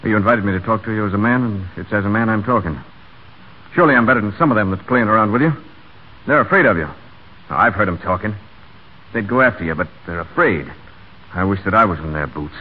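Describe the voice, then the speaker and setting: An actor playing a brash, brooding, lovestruck stable hand, blunt and earnest, in a 1940s radio drama. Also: male voice, fairly low pitch, fast, pauses often, slight background noise, natural voice. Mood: ardent. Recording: tinny, narrow 1940 radio broadcast.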